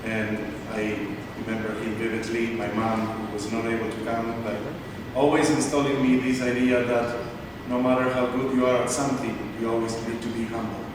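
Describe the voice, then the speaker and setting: Cantor in an echoey hall. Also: male voice, medium pitch, level tone. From Argentina. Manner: heartfelt, grateful, slightly halting. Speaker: cantor accepting an award. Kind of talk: acceptance speech. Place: large synagogue hall. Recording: echoey hall from far off, noisy.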